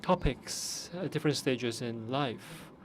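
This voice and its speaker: A man giving simultaneous interpretation of conference speeches, measured and pausing often to restart. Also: medium pitch, a little hiss or hum. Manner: measured, with frequent pauses and restarts.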